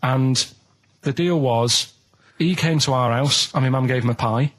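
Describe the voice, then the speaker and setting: Manc bloke on the radio telling a rambly story, dead matter of fact. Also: male voice, mid-range voice, unhurried. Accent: Northern English, Manchester. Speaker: radio show sidekick telling anecdotes. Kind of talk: casual chat, rambling storytelling. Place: radio studio. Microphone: studio microphone.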